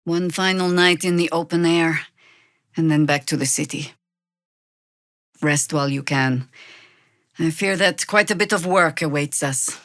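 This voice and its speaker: A seasoned druid warrior woman from a fantasy game. Her voice sits slightly low, recorded very dry. She is sardonic and world-weary but resolute, speaking in measured, commanding phrases. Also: a bit bright, no room sound. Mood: wry, measured. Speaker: video game voice actress. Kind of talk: scripted fantasy game dialogue with shouted spell incantations.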